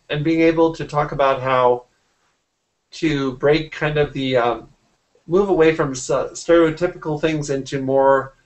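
A man's voice: mid-range voice, low-quality recording. A man in his forties speaking as an interview guest in a casual conversation on a video call, often rephrasing himself mid-sentence. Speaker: interview guest, artist and art teacher. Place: small indoor room at home. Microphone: computer mic on a video call.

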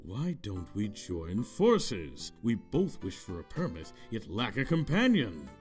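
An earnest, courteous beetle explorer voiced by a man who speaks slowly with a lively rise and fall. Soft music plays in the background. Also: on the low side, exaggerated voice. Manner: polite, earnest, slightly formal. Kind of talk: fan dub of a video game.

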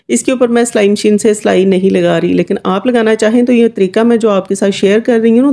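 Casting: woman, soft dark tone with gravelly edge, chatty DIY narrator. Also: mid-range voice. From Kashmir. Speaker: craft tutorial host. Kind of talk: informal step-by-step DIY voice-over.

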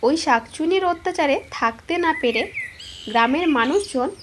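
A woman's voice: sits high, lively intonation, normal speed, background noise. A woman giving scripted story narration for a children's cartoon.

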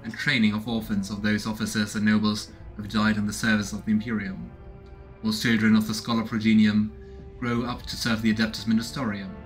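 A man in his thirties doing casual let's play commentary and reading game text aloud as he goes. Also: on the low side. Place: small room indoors at home. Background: game music.

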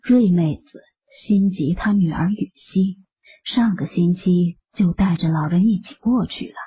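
A chatty middle-aged village auntie. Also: female voice, on the low side, a little slow, husky. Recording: muffled phone-like audio.

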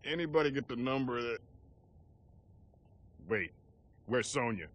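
A man's voice: mid-range voice, measured pace, big-room sound, close to an everyday voice. Man as a blunt, hot-tempered army officer. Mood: worried, determined. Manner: blunt, tough-talking. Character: gruff, hot-tempered male military officer. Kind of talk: video game cutscene acting. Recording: loud music under the dialogue.

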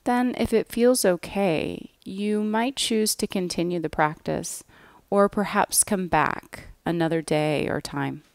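A woman guiding a yoga nidra relaxation in a gentle, measured way, with soft phrase endings. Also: on the low side, vocal fry, no room sound. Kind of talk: guided relaxation meditation, scripted.

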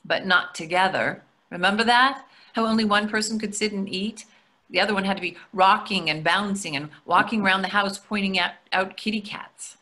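Wife and mother, middle-aged woman actor: bright, forward voice, dry and teasing, matter-of-fact, video-call play reading. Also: mid-range voice, normal speed, natural voice. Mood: wry. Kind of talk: readers theatre play reading. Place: indoors at home, on a video call.

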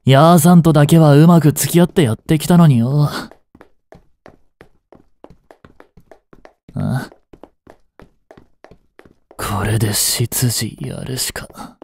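An actor playing a rough young street punk working as a butler in an audio drama, blunt and teasing, with a grudgingly tender side. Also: male voice, on the high side, measured pace, pauses often, darkish tone. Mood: gruff, irritated. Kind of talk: intimate Japanese audio drama acting addressed to the listener.

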